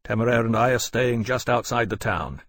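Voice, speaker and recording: A man's voice: medium pitch, normal speed, a bit bright, slight background noise, lightly acted. A man playing a naval captain in an audiobook story, formal, reserved and courteous, with a stiff, correct manner. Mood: formal, guarded.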